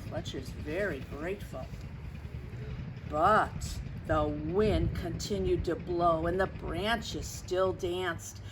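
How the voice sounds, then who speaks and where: A woman reading a picture book aloud to children, expressive and dramatic, stretching words and chatting to her young listeners. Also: fairly low pitch. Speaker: storyteller for children. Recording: clearly noisy background.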